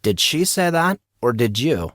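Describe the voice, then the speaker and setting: A man voicing a teasing, excitable teenage schoolboy in an audio drama, questioning and exclaiming with mock drama. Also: medium pitch, unhurried, no room sound, clean studio sound, voice pushed up. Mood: insistent. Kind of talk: audio drama character dialogue.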